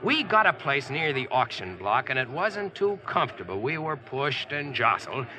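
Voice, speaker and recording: An actor playing a plainspoken, wry Yankee with an American accent, in an old-time radio drama. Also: male voice, mid-range voice, sing-song, moderate pace, over music, natural voice. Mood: wry storytelling. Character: wry, slangy Yankee man narrating his medieval adventure. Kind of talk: 1940s radio acting and storytelling.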